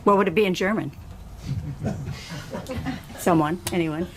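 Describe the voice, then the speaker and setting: A woman's voice: lowish voice, measured pace. A woman asking a conversational question at a live book event, rephrasing it as she goes along. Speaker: participant asking the author a question. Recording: faint room noise.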